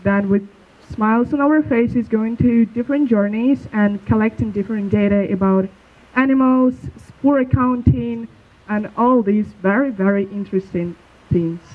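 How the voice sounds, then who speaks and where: A young man from Belarus presenting a school project in a casual, slightly halting way. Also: sits high. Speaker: student presenter. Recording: tinny phone-like sound.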